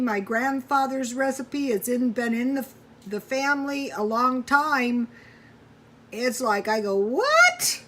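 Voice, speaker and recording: A woman's voice: high voice, fairly bright tone, a little hiss or hum. A woman chatting casually to viewers while cooking at home, thinking aloud in a rambling, folksy way. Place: home kitchen.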